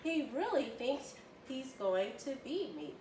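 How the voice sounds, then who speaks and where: A woman's voice: sits high, sing-song, slow, mellow, full character voice. A woman voicing a cocky male hare from a children's storybook. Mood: cocky, breezy. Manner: boastful, breezy. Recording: roomy, distant mic, faint noise.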